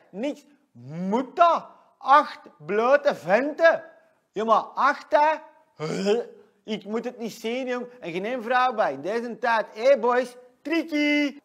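Cocky young Flemish guy, Leuven accent, high voice, boastful, brash, sketch comedy. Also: exaggerated voice. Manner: boastful, brash, talks about himself in third person. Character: cocky 22-year-old male shop salesman, brash braggart. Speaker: comic character giving weekly rundown.

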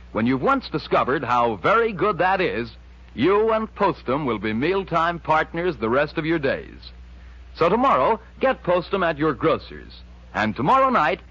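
A man's voice: a little high, fairly bright tone, husky, no echo, dull audio. An American man announcing on 1940s radio, polished and emphatic with folksy salesmanship, on an old scratchy recording. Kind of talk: 1940s radio advertising and scripted narration.

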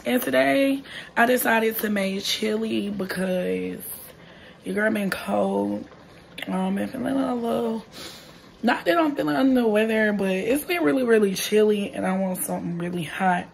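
A young woman with a hoarse, raspy voice chatting casually to viewers while she cooks in her home kitchen. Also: mid-range voice, normal speed, slight background noise, low-quality recording. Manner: chatty, talks straight to viewers. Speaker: vlogger. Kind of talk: casual cooking vlog. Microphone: phone camera held close.

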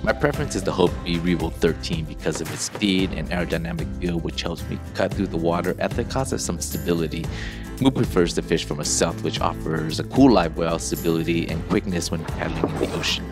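A man reading a calm, informative voice-over narration for his kayak fishing video. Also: sits low, brisk. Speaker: host. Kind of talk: scripted narration. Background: music.

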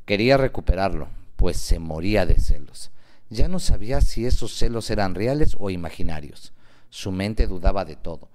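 A man narrating a radio novel in a measured, dramatic way with deliberate emphasis. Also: low-pitched, lively intonation, a little mellow.

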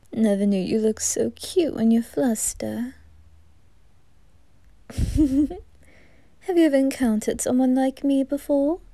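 A woman voicing a flamboyant, flirtatious male reaper in an ASMR roleplay, teasing and giggling, often slipping into breathy whispers. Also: on the high side, mellow, dry recording.

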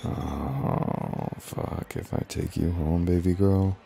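Very deep, gravelly man acting a flirtatious professor. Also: slow, warm tone, faint music behind, full character voice. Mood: dominant, seductive.

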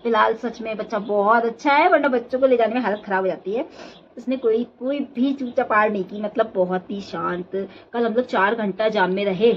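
A woman's voice: fairly high pitch, brisk. A woman in her thirties chatting to viewers as a travel vlogger from inside a car on a mountain road, recorded on a phone camera. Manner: chatty, talks to viewers while touring. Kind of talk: casual travel vlog chat. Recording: dull lo-fi sound with loud road noise.

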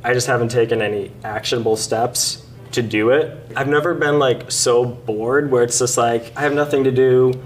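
A man in his thirties, soft-spoken and a little awkward, talking in a bright studio. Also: medium pitch, fairly even intonation, moderate pace, distant mic, a little hiss or hum. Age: 30s. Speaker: interview show participant. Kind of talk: casual conversation.

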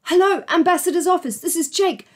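A woman's voice: very high voice, a little fast, voice pushed up. A woman voicing a flustered, anxious young boy. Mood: urgent.

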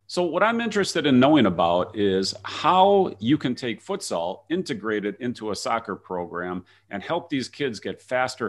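A man from Chicago, hosting a video call interview with upbeat questions. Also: fairly low pitch. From Chicago, USA. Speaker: interview host. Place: room before blue backdrop.

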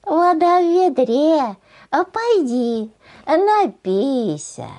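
Woman putting on a high singsong old granny. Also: big pitch swings, very slow, warm tone, full character voice. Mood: unbothered. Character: kindly, calm old village granny.

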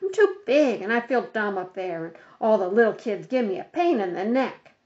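A woman's voice: mid-range voice, sing-song, moderate pace, a little mellow, natural voice. A woman voicing a glum, complaining older boy in a storybook, whiny and resigned, on a slightly dull recording.

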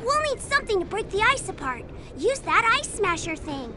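A woman's voice: very high voice, big pitch swings, moderate pace. A woman voicing a timid, cautious child in a video game, plaintive and hesitant. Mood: excited. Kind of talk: video game cutscene acting. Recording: noisy train ambience behind.

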